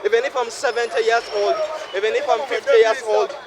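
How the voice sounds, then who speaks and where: A man in his twenties from Uganda, talking to himself in a repetitive, preachy monologue while walking a city street at night, sweaty from walking fast. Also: very high voice, flat delivery, a crowd in the background. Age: 20s. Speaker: vlogger. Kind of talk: rambling night-walk monologue.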